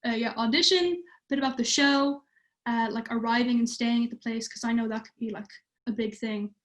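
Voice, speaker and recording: A woman's voice: a little high, steady tone, a little fast, fairly bright tone. A teenage girl from Ireland, chatting casually on a livestream and using many fillers. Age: teens. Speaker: youth theatre participant.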